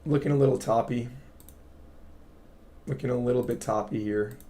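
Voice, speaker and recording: A man with a raspy voice hosting a casual crypto livestream, explaining charts to viewers and often checking in with "right?". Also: medium pitch, fairly even intonation, normal speed, dark tone. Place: home studio. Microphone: studio mic.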